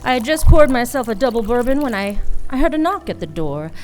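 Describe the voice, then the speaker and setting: A woman's voice: on the high side, very animated, a little fast, a little mellow, slight background noise. An actress playing a bitter, world-weary woman detective in a noir radio drama, with a cynical, brooding delivery. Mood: bleak, bitter.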